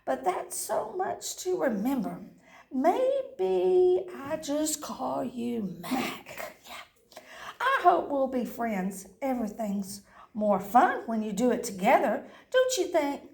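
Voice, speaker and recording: A woman voicing a cheerful, chatty female dog who talks eagerly and bounces up high. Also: sing-song, slow, gravelly, darkish tone, reverberant, full character voice.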